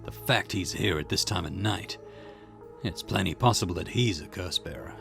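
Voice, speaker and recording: Low-voiced man narrating a visual novel. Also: normal speed, gravelly, soft background music. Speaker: gaming channel host. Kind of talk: reading visual novel text aloud, playthrough narration.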